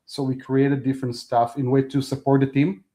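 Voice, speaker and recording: A man whose first language is Hebrew, a guest talking casually in an interview, halting and restarting as he speaks in non-native phrasing. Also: mid-range voice, little pitch movement, dark tone. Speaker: podcast guest.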